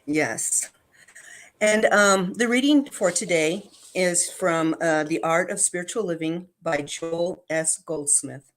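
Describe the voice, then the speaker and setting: A woman reads devotional material aloud as a service reader. Her voice is low, and she takes a slow, measured pace. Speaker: affirmations and scripture reader.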